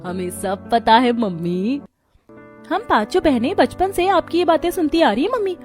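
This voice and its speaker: A woman voices a spoiled young daughter in a storybook moral tale. She is carefree and coaxing, with a girlish, raised pitch. Faint music plays behind her. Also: expressive, moderate pace. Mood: breezy, coaxing. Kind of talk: Hindi moral story voice acting.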